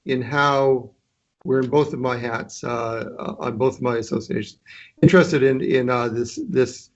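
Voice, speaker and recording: A man's voice: mid-range voice, fairly even intonation, unhurried. A man speaking on a conference call, hesitant and full of restarts, offering to coordinate with other deans. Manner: hesitant, many restarts and fillers. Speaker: dean, association member. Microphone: conference line. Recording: dull lo-fi call audio.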